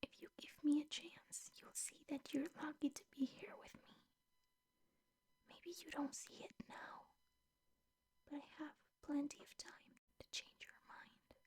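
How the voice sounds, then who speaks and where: A young woman playing a possessive, sweetly menacing teacher girlfriend in an ASMR roleplay, speaking softly, intimately and often in whispers. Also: sits high, level tone. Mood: coaxing, possessive. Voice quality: soft, breathy, often whispered. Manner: slow, intimate, coaxing with veiled threats.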